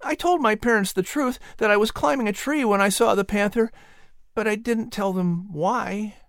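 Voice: medium pitch, normal speed, dry recording, clean studio sound, voice pushed up, natural voice. An audiobook narrator voicing an earnest, eager young boy in colonial times. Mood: confessing.

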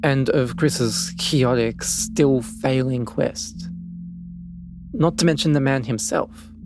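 A man hosting and narrating a scripted documentary in a measured, reflective way. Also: mid-range voice, a little mellow, a little hiss or hum. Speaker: podcast host and narrator.

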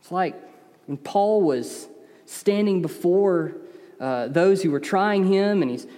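High-voiced preacher with an East Tennessee accent. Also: male voice, slow, dark tone. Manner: earnest, conversational preaching with emphatic rises. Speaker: pastor. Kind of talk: sermon. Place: church sanctuary. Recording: long reverb of a large hall.

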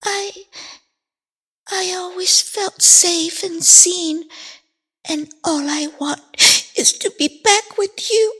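A woman voicing a poor little girl selling matches, in a high, soft, pleading childlike voice. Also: steady tone, slow pace, long pauses, heavily acted. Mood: longing.

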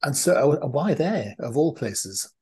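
A man's voice: medium pitch, big pitch swings, a little slow, mellow. Hesitant male podcast host. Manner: hesitant, many ums and restarts. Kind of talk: conversational interview.

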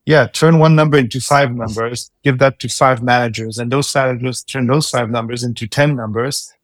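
Non-native English-speaking male co-host, emphatic, mid-pitch, dry recording. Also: steady tone, normal speed, no room sound. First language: not English. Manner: emphatic, swears freely. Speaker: podcast co-host. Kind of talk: casual panel chat.

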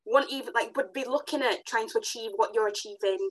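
Fast-talking enthusiastic woman podcast host. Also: fairly high pitch, low-quality recording. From Africa. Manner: enthusiastic, affirming. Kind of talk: casual interview chat.

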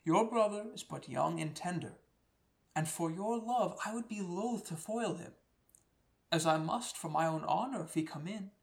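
A proud wrestler, played by a man addressing his betters in a Shakespearean radio drama. His voice is notably high for a man, and his delivery is earnest, formal and declamatory. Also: expressive, normal speed, gravelly. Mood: respectful, proud. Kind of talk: Shakespearean radio play acting.

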